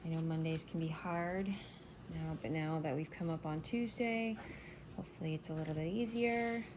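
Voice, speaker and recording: Low-voiced woman, scratchy morning voice. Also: steady tone, moderate pace. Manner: chatty, meandering, soft-spoken. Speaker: tarot reader. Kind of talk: casual morning tarot reading.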